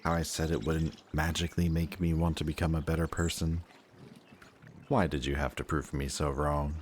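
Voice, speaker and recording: A man acts out a reformed antihero with an extremely low voice, talking closely to a best friend in an audio drama. He is remorseful, affectionate and emotionally open, with a hesitant conversational flow. Noticeable ambient noise sits behind him. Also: little pitch movement, normal speed. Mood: remorseful, sincere. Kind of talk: intimate audio roleplay acting addressed to the listener.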